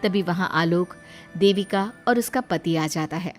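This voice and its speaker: A woman's voice: fairly low pitch, measured pace. Woman storyteller over background music. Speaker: narrator. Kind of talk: scripted moral-story narration.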